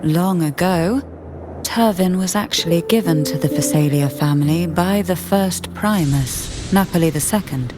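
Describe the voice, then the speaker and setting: A woman voicing a poised, secretive noblewoman in a video game story, speaking in a composed, refined and deliberate way. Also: fairly low pitch, background noise, lightly acted. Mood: grave, composed. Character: poised, secretive noblewoman of an old disgraced family. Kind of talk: video game story voice acting, measured exposition. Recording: game music behind the voice.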